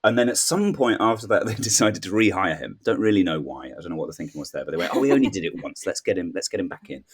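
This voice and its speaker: A man's voice: low-pitched, expressive, fast talker, slightly rough. A man chatting casually as a comedy panel guest, telling a rambling story and acting out imagined dialogue. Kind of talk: casual comic news chat.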